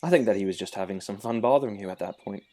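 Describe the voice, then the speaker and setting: Low-voiced loyal royal attendant played by a man. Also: rapid-fire, a little mellow, natural voice. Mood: calm, reassuring. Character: loyal, calm attendant and confidant of a prince. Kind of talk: amateur audio drama acting.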